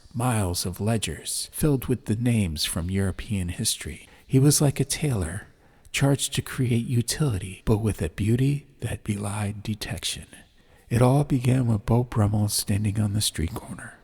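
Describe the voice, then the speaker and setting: A man reading a story as an atmospheric audiobook narrator, in measured short phrases with pauses. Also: on the low side, a little mellow. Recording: clear background ambient noise. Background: ambience.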